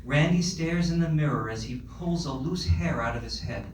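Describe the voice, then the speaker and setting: A man's voice: a little high, normal speed. Echoey male narrator reading a screenplay. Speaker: narrator reading stage directions. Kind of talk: scripted narration at a comedy table read. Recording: echoey room, distant mic, noisy.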